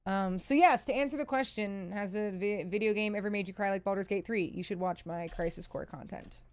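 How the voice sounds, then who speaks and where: A woman talks as a livestreamer taking viewer questions. She is chatty and candid, happy to poke fun at herself and swear. Her mid-pitched voice is recorded dry, with very little room sound. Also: fairly quick, no room sound. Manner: candid, chatty, self-deprecating, swears freely. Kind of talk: casual livestream Q&A chat. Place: indoors, seated at a PC.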